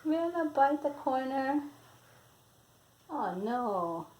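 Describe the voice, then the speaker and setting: An older woman, a grandmother, reading a picture book expressively to a small child, sing-song with drawn-out words and chatty asides. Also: sits high, big pitch swings, darkish tone. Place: porch at home. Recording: roomy echo, faint background noise.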